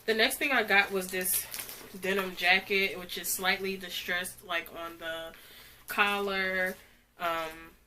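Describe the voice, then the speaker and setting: A young woman with a slightly stuffy cold talks casually and loosely, filling her sentences with "like" and "um". Her voice sits a little low yet sounds bright and forward, close to the camera in a small bedroom with a faint hum behind. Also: fairly even intonation, slow. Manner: chatty, rambling, uses "like" often. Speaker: fashion vlogger. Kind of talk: casual try-on haul.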